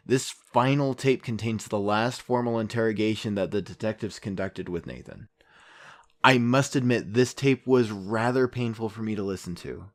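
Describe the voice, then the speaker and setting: An actor playing an earnest, troubled journalist, narrating reflectively with hesitant pauses like a podcast host. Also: male voice, lowish voice, lightly acted. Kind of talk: podcast-style narration in audio drama.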